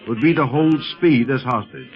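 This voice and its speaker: A man's voice: mid-range voice, normal speed, natural voice. An actor playing a level-headed, fatherly doctor in a 1930s radio serial, reasoning emphatically and reassuringly. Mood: earnest, reasoning. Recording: muffled 1930s radio recording, music behind.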